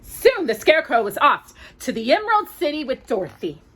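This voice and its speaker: A woman telling a children's story in an expressive, dramatic manner, drawing out key words. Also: sits high, a little fast, breathy edge. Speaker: storyteller, mother reading to her daughter. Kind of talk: children's storytelling with bits of song.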